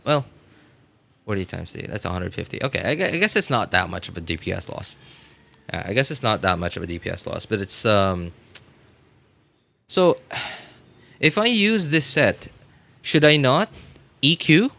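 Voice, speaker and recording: Low-voiced man thinking aloud on stream. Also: animated, moderate pace, creaky, long pauses, pushed and bright. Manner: hesitant, thinking aloud, repeating himself. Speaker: game livestreamer. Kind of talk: casual livestream chat while theorycrafting a game.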